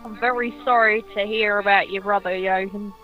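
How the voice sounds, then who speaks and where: A woman's voice: mid-range voice, fairly even intonation, normal speed, close to an everyday voice. A woman playing a dry, businesslike henchwoman in an amateur radio play, matter-of-fact in manner. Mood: polite. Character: dry, businesslike female grave-robbing henchwoman. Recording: slightly dull sound, music behind.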